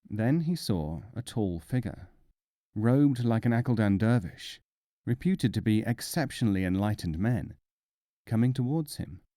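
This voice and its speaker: Low-voiced male storyteller, animated and mellow. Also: dry recording, clean studio sound. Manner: measured, expressive storytelling. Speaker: audiobook narrator. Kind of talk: scripted storybook narration of folk tales.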